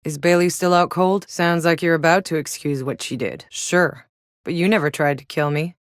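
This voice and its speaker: Casting: actress to play battle-hardened sci-fi game heroine, female, lowish voice, blunt, wry, very dry close recording. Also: steady tone, normal speed, no room sound, natural voice. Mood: determined, blunt. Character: tough, sardonic battle-hardened female soldier in sci-fi wasteland. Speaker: video game voice actor. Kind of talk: scripted video game character voice lines.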